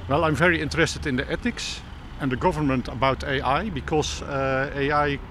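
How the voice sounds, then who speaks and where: A man's voice: medium pitch, fairly even intonation, normal speed, a bit bright. Hesitant man, outdoor traffic noise. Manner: hesitant, searching for words. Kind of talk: street interview. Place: outdoors near a tent. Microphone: handheld interviewer mic.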